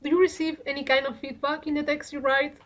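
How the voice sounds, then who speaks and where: A woman's voice: sits high, flat delivery, fast, a bit bright. A woman from Spain interviewing a writer on a radio show, asking curious questions. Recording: muffled radio studio audio.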